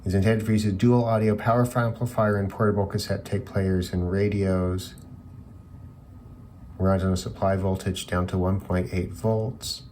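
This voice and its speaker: A man with a low voice talks through electronics in an unscripted, hobbyist way. He sounds musing and tentative, working things out as he goes. There is some noise behind him in the recording. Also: level tone, normal speed, mellow. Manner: musing, thinking aloud, tentative. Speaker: hobbyist reviewer of radios. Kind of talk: unscripted electronics teardown commentary.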